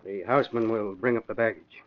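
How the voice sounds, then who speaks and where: A man's voice: on the low side, fairly even intonation, fairly quick, close to an everyday voice. An actor playing a worried but steadfast older father in 1940s radio drama style. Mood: cordial. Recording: muffled, hissy old radio recording.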